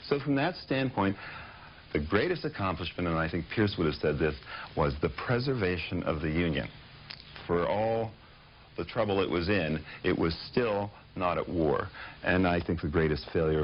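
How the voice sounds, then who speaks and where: Author guest, male, very low voice, halting and thoughtful delivery, muffled broadcast sound with light hiss. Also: animated. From Pittsburgh, United States. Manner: thoughtful, hesitant with many fillers. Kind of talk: casual interview answers.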